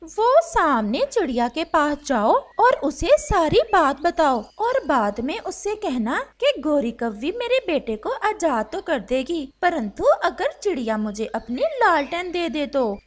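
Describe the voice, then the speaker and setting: Lady doing a greedy crow wife for a kids cartoon, high swoopy voice, bossy and wheedly. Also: very animated, measured pace, hardly any pauses. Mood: scheming. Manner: demanding, wheedling. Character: scheming, covetous female crow wife. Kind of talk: Hindi animated moral story dialogue.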